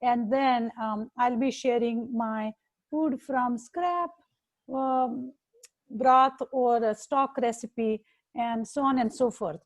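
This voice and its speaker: A woman's voice: a little high, slow pace, low-quality recording. A woman with an Indian accent hosting an interview chat, speaking hesitantly with many fillers. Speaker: host, dietitian.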